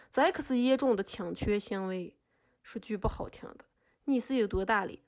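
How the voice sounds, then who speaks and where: Woman playing indignant lady accuser in a podcast court drama, highish voice, scolding n sarcastic. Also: a little mellow, close to an everyday voice. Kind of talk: scripted podcast drama monologue.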